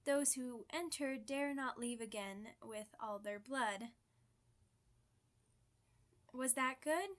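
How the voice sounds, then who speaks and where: A woman's voice: fairly high pitch, slow pace, long pauses, a bit bright. A woman voicing a chatty, playful, theatrical old vampire in an ASMR roleplay, full of mock-grand asides. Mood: playfully theatrical.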